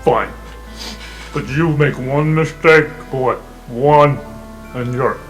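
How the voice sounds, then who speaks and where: A man voicing a gruff, suspicious, non-human palace cook who scolds bluntly in a fantasy tabletop game. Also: mid-range voice, takes it slowly, soft background music, natural voice. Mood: stern, grudging.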